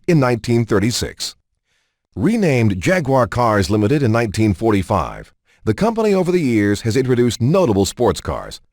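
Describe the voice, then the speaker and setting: A man's voice: mid-range voice, a little mellow, dry recording, clean studio sound. A man narrating a scripted car showcase for a racing game in a polished, promotional announcer style.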